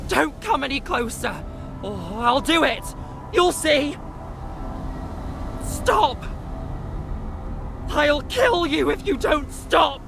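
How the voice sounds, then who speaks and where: A woman's voice: high voice, expressive, slow, gravelly, pauses often, background noise, full character voice. An actress playing a vengeful, bitter young woman in a video game, scornful and emphatic. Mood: furious. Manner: emphatic, scornful, spitting out words. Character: vengeful, bitter young noblewoman, armed and defiant. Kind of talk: dramatic video game cutscene acting. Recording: music behind the voice.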